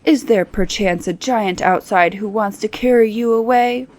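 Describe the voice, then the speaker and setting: A woman's voice: medium pitch, moderate pace, a little mellow, close to an everyday voice. A woman voicing a concerned, fatherly old king with a firm, stately manner in a fairy tale.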